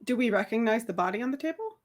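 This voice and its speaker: A woman's voice: fairly high pitch, lively intonation, racing. A woman playing a tabletop roleplay game, chatting casually and thinking aloud about what to do. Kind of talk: casual game chat, partly in character.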